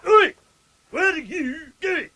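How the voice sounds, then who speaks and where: Comic audiobook sergeant major, played by a man: forceful, high-pitched for a man, barking and sarcastic. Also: expressive, takes it slowly, full character voice. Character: gruff, sarcastic bullying army battery sergeant major.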